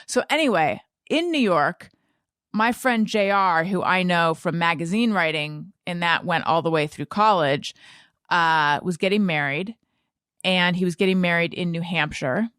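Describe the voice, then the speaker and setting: A woman's voice: on the low side, measured pace, a bit bright, smooth, no echo. American woman hosting, dry close sound. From Orange County, United States. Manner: rambling, self-deprecating. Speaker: podcast host. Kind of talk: casual conversational interview.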